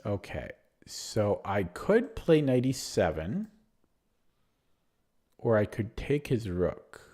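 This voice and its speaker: A man's voice: fairly low pitch, very animated, slow, dark tone. A man streaming online chess, thinking aloud through his moves with a hesitant, self-deprecating manner and frequent fillers. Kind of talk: live game commentary.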